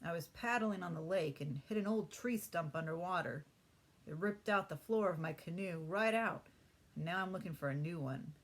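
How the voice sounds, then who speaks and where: Woman putting on a booming, hearty big man. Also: moderate pace.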